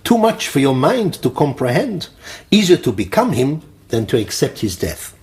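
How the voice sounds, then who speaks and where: Animated, weary older doctor. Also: male voice, medium pitch, husky. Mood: analytical. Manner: deliberate, analytical. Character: weary older male psychiatrist heading a clinic, probing, wistful. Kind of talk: radio drama acting.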